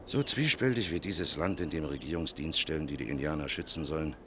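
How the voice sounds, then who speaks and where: An actor playing a grave, world-weary older male official in a German radio play, speaking with measured, bitter irony. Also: a bass voice, sharp tone, slight background noise. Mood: grave explaining. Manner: measured, sardonic, rhetorical.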